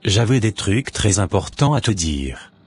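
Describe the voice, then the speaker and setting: A man voicing a deep-voiced pious young man. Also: big pitch swings, breathy edge, no echo, exaggerated voice. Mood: earnest. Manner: earnest, measured, pious.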